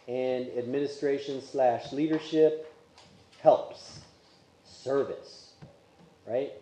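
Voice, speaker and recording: A man's voice: on the high side, mellow. A man teaching a Sunday school Bible class, asking the class questions as he goes, with Polish as his first language. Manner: conversational, asks the class questions. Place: church classroom. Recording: echoey room.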